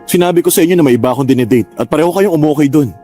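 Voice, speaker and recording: An actor playing an exasperated but frank two-timing suitor in a radio drama, arguing earnestly. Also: male voice, a little high, rapid-fire, mellow, faint music behind, lightly acted. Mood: frank, frustrated. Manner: argumentative, earnest.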